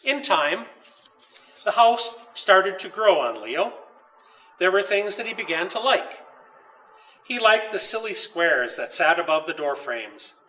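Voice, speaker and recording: Male storybook reader, higher-pitched and crisp-edged bright tone, deliberate phrasing, recorded a little off the mic. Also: far from the mic, rough recording. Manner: measured, deliberate storytelling with pauses. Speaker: author reading own story. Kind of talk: children's storybook read aloud. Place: old dairy barn.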